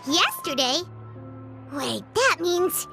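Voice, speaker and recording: Childlike fairy girl, game companion. Piercingly high, squeaky, very animated, earnest and excitable. Prominent music underneath. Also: slow, creaky, full character voice. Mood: startled. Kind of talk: video game voice acting.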